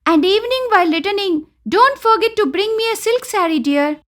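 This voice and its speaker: A bossy young wife from an animated moral tale, played by a woman. Her Indian-accented voice is shrill, very high and lively, full of selfish commands. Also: brisk. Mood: bossy, demanding.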